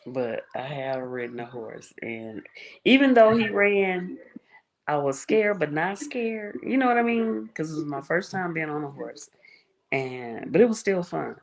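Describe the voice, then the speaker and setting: A woman with a very low, creaky, soft-spoken voice hosts a casual live stream. She tells stories in a relaxed, chatty way and laughs often. Her voice has a gravelly fry. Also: measured pace, poor audio. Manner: laughs often, chatty storytelling, greets viewers. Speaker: livestream host.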